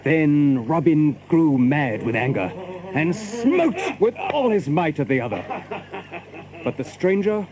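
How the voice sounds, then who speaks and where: A man narrating an adventure story from a script, in measured, literary, archaic English. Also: a little high, very animated, a crowd in the background, rough recording.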